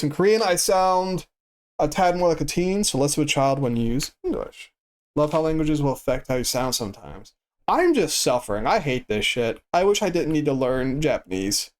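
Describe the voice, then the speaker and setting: A man streaming a game who chats casually in a flat, stoic way and swears freely. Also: fairly high pitch, clear, resonant tone. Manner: monotone and stoic delivery. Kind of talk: casual chat while gaming.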